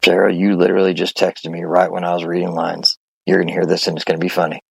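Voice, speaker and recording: A man with a gravelly low voice, a drama performer muttering off script between takes. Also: monotone, quick pace, no echo. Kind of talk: blooper outtakes, off-script muttering.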